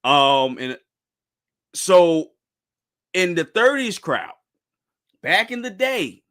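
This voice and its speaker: A man's voice: high voice, big pitch swings, drawn-out words, a bit bright. A man in his thirties hosting a casual podcast talk about anime, rambling with restarts and tossing in "bro". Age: 30s. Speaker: podcast host.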